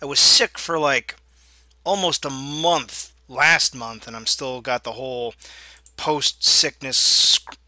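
Hoarse, goofy gaming YouTuber, a man. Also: medium pitch, takes it slowly, sharp tone, no echo. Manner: goofy, mock-exasperated, swearing. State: slightly hoarse. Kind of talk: let's play commentary.

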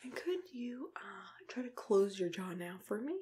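Young woman whispering softly, ASMR dentist roleplay. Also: medium pitch, expressive, normal speed, a little hiss or hum. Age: young adult. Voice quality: soft, breathy, mostly whispered. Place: small plain room indoors.

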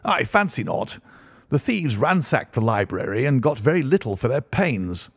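Man playing a bluff, lively country colonel. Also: medium pitch, moderate pace, husky, dry recording, clean studio sound, voice pushed up. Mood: breezy, dismissive. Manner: bluff, old-fashioned upper-class phrasing. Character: hearty, unflappable English country colonel, gentleman host.

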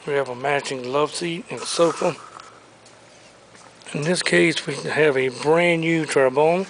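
A man with a slightly high voice walks a big warehouse showroom, rattling off furniture and collectibles in an upbeat salesman's patter. He is recorded on the camera he carries, with a faint hum of the space behind him. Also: low-quality recording. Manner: listing items briskly, upbeat salesman patter. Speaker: auctioneer. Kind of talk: walkthrough preview of auction items.

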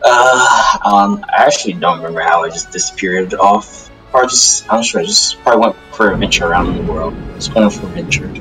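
Man playing a low-voiced, halting demon loner. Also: over music, natural voice, low-quality recording. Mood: hesitant, wary. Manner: halting, repeats his phrases. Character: male talking demon, wary vengeful loner, apologetic. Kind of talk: improvised Minecraft anime roleplay.

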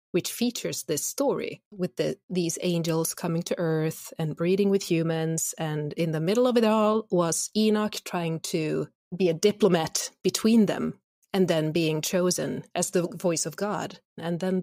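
A woman's voice: on the low side, dark tone, no echo, studio quality. A woman chatting as a podcast guest about the audio drama she makes. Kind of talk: interview chat.

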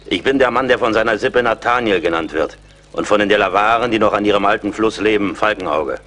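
An actor playing a proud, fearless, seasoned frontier scout in a German radio play, speaking formally and steadfastly. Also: male voice, on the low side, quick pace, a bit bright, natural voice. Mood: defiant, calm.

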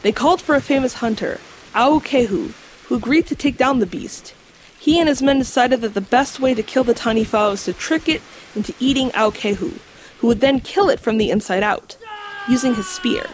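A woman playing an earnest, scholarly guide to mythical creatures in an audio drama, explaining in a measured, slightly formal way. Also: fairly high pitch, a little hiss or hum, lightly acted, poor audio. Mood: solemn storytelling. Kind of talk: audio drama acting, storytelling. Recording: music under the voice.

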